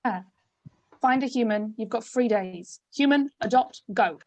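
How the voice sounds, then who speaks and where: A woman's voice: on the high side, measured pace, a little mellow, highs cut off, natural voice. A woman acting a bossy fairy union boss in a script read, barking commands and scolding. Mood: stern, commanding. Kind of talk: scripted screenplay table read.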